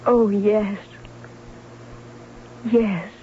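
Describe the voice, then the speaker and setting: A woman's voice: mid-range voice, steady tone, drawn-out words. An actress playing an eager, gracious noblewoman, earnest and emotional, in an old radio drama. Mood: eager, welcoming. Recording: dull, hissy vintage radio recording.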